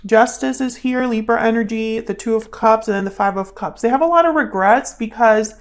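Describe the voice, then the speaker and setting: A woman's voice: fairly high pitch, fairly quick. Woman giving a casual, conversational tarot reading. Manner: conversational, repeats questions and phrases while thinking aloud. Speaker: tarot reader, channel host. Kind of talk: intuitive tarot reading, casual spoken commentary.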